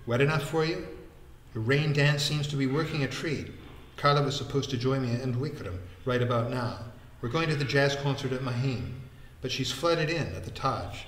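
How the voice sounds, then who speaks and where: A man's voice: medium pitch, normal speed, fairly bright tone, echoey room, a little hiss or hum, lightly acted. A man voicing a witty, teasing young woman in an audiobook, wry and breezy. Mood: wry amusement.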